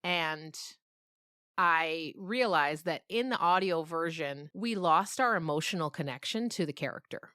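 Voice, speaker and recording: A woman's voice: sits low, animated, measured pace, sharp tone, no room sound, studio quality. A middle-aged woman talking reflectively and with animation about her creative work in a conversational interview. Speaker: novelist and podcast creator being interviewed.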